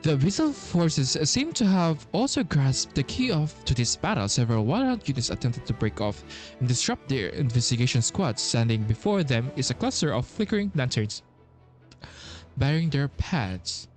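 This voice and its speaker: A man's voice: medium pitch, sing-song, moderate pace. A man streaming a game, reading its text aloud and commenting, stumbling and repeating himself as he goes. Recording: faint game music underneath. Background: faint game music.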